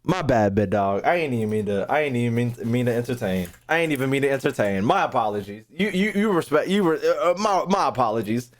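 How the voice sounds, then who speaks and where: Brash young man, very animated pitch. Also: quick pace, few pauses. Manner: brash, slangy, profane, repeats himself. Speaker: streamer reacting to a game. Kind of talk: casual live-stream commentary.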